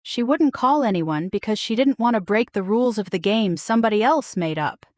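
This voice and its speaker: A woman's voice: mid-range voice, expressive, a little fast, dry recording, clean studio sound, natural voice. A woman voicing a kind, reassuring young female store employee in a story, earnest and plain-spoken. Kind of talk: storybook-style dialogue reading.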